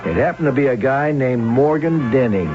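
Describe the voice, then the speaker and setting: A man's voice: mid-range voice, expressive, normal speed, close to an everyday voice. An actor playing a worldly, wry male police captain in a 1970s radio drama. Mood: wry, satisfied. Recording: muffled vintage radio with music behind.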